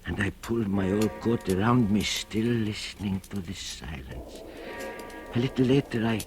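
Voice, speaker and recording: An actor playing an old, gentle blind cobbler in a 1940s radio drama, speaking haltingly and earnestly with a soft foreign lilt. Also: male voice, lowish voice, creaky. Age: older. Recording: old radio broadcast with music behind.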